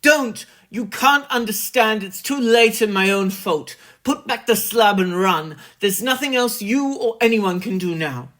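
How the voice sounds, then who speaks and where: A reader voicing a desperate man trapped underground, pleading and shouting urgently. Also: mid-range voice, a little slow, voice pushed up. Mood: frantic pleading. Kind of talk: dramatic horror story reading.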